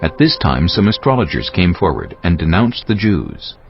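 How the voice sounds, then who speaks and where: A man's voice: sits low, big pitch swings, normal speed. A man narrating scripture from a script in a dramatic audiobook style. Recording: dull lo-fi audio, faint music.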